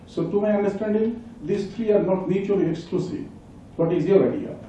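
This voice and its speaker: A man's voice: high-pitched, fairly quick, dark tone, a little hiss or hum. A man in the audience politely asking a presenter a question, hesitating and restating his ideas. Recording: echoey hall, distant dull mic.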